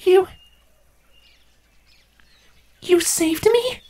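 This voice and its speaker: A man voicing a young female changeling creature in a storybook reading, earnest, brave and pleading, in a squeaky falsetto. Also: takes it slowly, darkish tone, slight background noise. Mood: awed.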